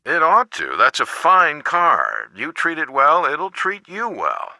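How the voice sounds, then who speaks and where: An actor playing a caring, cautious middle-aged father in a video game, with a measured, advisory manner. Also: a little high, huge pitch swings, sharp tone, no room sound, heavily acted. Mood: warm, businesslike. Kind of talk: scripted game voice acting.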